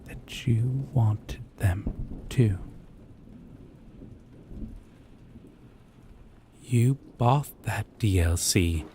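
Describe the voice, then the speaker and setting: A man playing a gentle, obsessive yandere dating-sim character, tender and possessive, in an intimate scripted audio roleplay with a lingering, coaxing delivery. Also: on the low side, a little hiss or hum, full character voice. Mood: seductive, coaxing. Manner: slow, lingering, coaxing, softly teasing.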